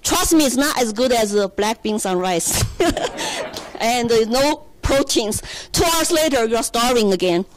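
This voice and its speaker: Woman, Chinese accent, high voice, candid talk, speaking at a lectern, faint background hum. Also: animated, a little slow, low-quality recording. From China. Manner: candid, conversational, laughs at herself. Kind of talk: personal story at a public talk. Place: conference room. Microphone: standing at a lectern.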